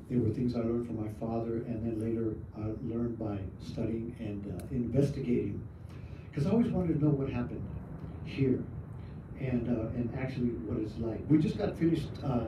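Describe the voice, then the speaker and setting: Hesitant man speaking outdoors, distant. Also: medium pitch. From Arizona, USA. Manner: hesitant, many "uh" fillers, reflective. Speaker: storyteller and mask artist. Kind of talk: live outdoor talk to a small audience. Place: outdoors at a museum park at night. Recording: echoey, distant, dull mic with noise.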